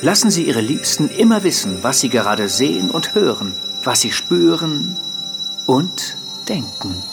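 An actor playing a slick commercial announcer with a smooth sales pitch in a futuristic radio drama. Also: male voice, big pitch swings, measured pace, over loud music, exaggerated voice. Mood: ingratiating. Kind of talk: advertising voice-over.

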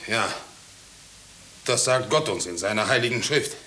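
An actor playing an upright, anguished farmer in a 1950s radio drama, earnest, respectful and insistent. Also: male voice, fairly low pitch, lively intonation, a little slow, sharp tone, rough recording. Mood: urgent, earnest. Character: upright, anguished farmer pleading for his wife. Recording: hissy old radio drama recording.

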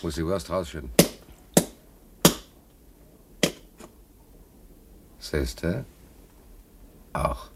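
An actor in a German radio play playing a bitter, anxious working-class ship stoker, plain-spoken and brooding, with a husky voice. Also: male voice, low voice, takes it slowly, heavy background noise. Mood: conspiratorial, uneasy.

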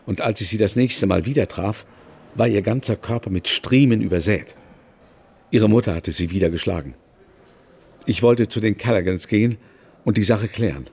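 A man playing an older, haunted novelist who confides in a measured way in a German radio drama. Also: low-pitched, vocal fry, a little mellow, slightly rough, full character voice. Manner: measured, confessional storytelling.

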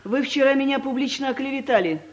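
A woman's voice: sits high, fast talker, muffled recording, close to an everyday voice. An actress playing a stern, imperious female director in a radio comedy, speaking formally and categorically in short questions and statements. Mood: indignant, hurt. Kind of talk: radio comedy play acting.

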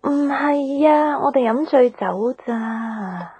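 An actress playing an earnest, emotional young woman in a radio drama, speaking softly with a Hong Kong Cantonese accent. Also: high-pitched, animated, slow pace, slightly rough, no echo, heavily acted. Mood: flustered, guilty. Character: earnest young woman torn between love and her mother. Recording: music bed behind the voice.

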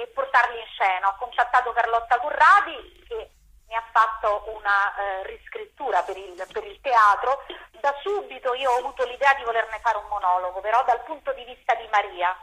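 A woman's voice: on the high side, sharp tone, poor audio. A woman theatre director answering interview questions, repeating words as she searches for phrasing.

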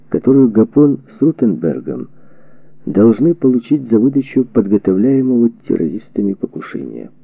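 A man reading a historical book as a scripted audiobook narration, in a measured, deliberate way with weighted pauses, on a narrow lo-fi recording. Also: medium pitch, gravelly, dry recording. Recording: narrow phone-like band, lo-fi audio.

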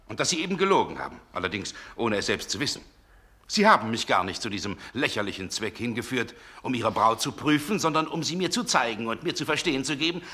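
An actor playing a proud, irritable, overwrought gentleman in a 1960s radio drama, speaking in a curt, accusing way. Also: medium pitch, husky, full character voice. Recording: 1960 radio play recording.

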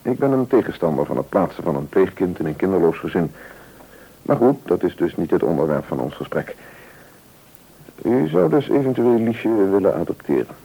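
An actor playing a sober, cautious official in a radio play, explaining formally and in measured words with a Netherlands Dutch accent. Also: male voice, low-pitched, very animated, creaky, husky, narrow, tinny audio, exaggerated voice. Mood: businesslike.

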